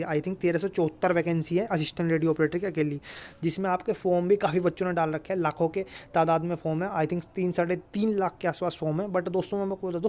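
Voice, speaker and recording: A man's voice: high-pitched, darkish tone. Man giving informal exam advice. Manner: repetitive, emphatic assurances, frequent check-in tags. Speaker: exam guidance video host. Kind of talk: informal advice talk to students.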